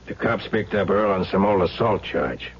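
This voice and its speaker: An actor playing a gruff, suspicious crime boss in a radio drama, curt and grumbling. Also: male voice, low-pitched, moderate pace, a little hiss or hum, natural voice. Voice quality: gravelly. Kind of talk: 1970s radio drama acting. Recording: dull, humming vintage radio recording.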